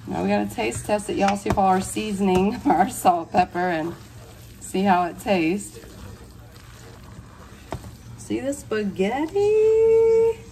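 A woman's voice: medium pitch, sing-song, slow pace, long pauses, warm tone. A woman, chatting viewers through a cooking tutorial step by step. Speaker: cooking vlogger. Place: hotel room. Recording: distant mic with clear room noise.

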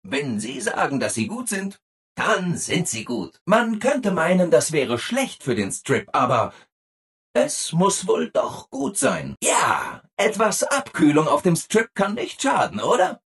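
An actor playing a relentlessly cheerful, eager-to-please robot assistant in a video game, exaggeratedly upbeat and obliging. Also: male voice, high-pitched, wildly swooping pitch, slow pace, vocal fry, a bit bright, breathy edge, heavily acted. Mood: cheerfully helpful. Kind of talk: video game character acting.

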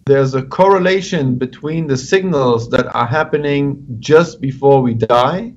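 A man's voice: medium pitch, a little slow, a little hiss or hum. Surgeon guy on a webcam call from his home office, lots of uhs, kinda dull lo-fi sound. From Afghanistan, raised in Germany. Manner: hesitant with many fillers, explanatory. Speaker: neurosurgeon, interview guest. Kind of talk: explaining research on a video call. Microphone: video call webcam. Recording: dull lo-fi video call audio.

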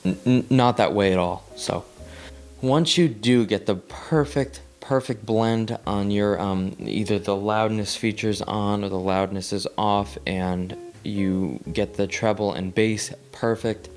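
Young guy reviewing speakers in his living room, kinda low voice, lots of ums, soft music under it. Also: steady tone, measured pace. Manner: rambling, with frequent ums and repeated words; gestures with his hands while seated. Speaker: audio gear reviewer. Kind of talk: casual talking-head review. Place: small living room with record player.

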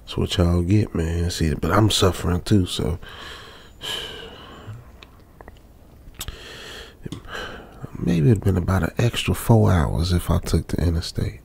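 A man's voice: sits low, moderate pace, creaky, a little mellow, husky, heavily acted. An American man playing a stranded young trucker in a radio drama, uneasily muttering to himself in colloquial, repetitive phrases. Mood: frustrated, resentful. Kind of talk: old-school radio drama monologue, improvised feel.

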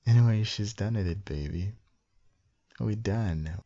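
A man with a gravelly, husky voice doing a soft, intimate boyfriend ASMR roleplay as a loving, doting husband and father. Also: lowish voice, highs cut off. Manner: tender, doting, slow, murmured with soft hums.

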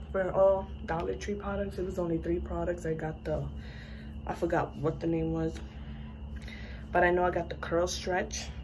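Raspy-voiced young woman, just woke up. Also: fairly low pitch, noisy background. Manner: chatty, rambling with fillers. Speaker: beauty vlogger. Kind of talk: casual hair product review. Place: small bathroom, indoors. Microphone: phone camera at arm's length.

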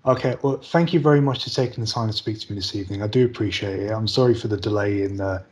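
British male interviewer, thirties, lowish voice, informal questioning over a video call. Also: quick pace, a little mellow, slight background noise. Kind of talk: casual interview. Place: room indoors on a video call.

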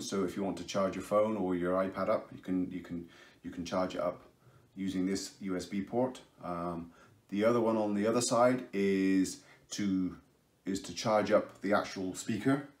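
Low-voiced man demoing a speaker. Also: steady tone, moderate pace. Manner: explains step by step, with frequent fillers and restarts; raises his voice over loud music during the sound test. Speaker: product reviewer. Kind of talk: casual unboxing demo. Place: indoors at a table, camera overhead. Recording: echoey room, music playing. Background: speaker playing music.

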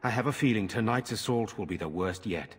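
An actor playing a grave, courteous noble lord in a fantasy game, speaking formally and earnestly in a reverberant stone hall. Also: male voice, fairly low pitch, animated, brisk, husky. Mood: grave, urgent. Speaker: game character. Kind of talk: dramatic fantasy game acting.

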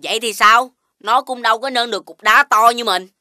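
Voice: medium pitch, fairly quick, heavy background noise, natural voice. A performer voicing a cocky but good-natured big-bellied child strongman with exaggerated bragging, in a children's cartoon with music underneath. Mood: mocking.

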